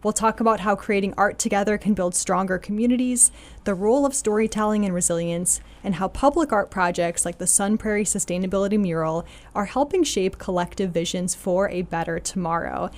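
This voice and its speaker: A woman's voice: medium pitch, fairly even intonation, fairly quick. A woman hosting a podcast, reading a scripted intro and asking guests questions in an upbeat, encouraging way. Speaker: podcast host.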